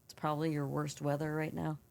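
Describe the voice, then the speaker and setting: A woman co-hosting a casual podcast with a froggy, slightly hoarse voice, reading a prepared segment and chatting. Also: low voice, completely flat delivery, brisk, a little mellow. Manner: reads prepared segment aloud, then chats casually. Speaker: podcast co-host.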